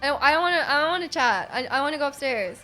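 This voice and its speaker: A woman live streaming a game, chatting casually with an excitable manner and often repeating her phrases. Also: high voice, very fast. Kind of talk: casual live stream chat while playing. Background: game music.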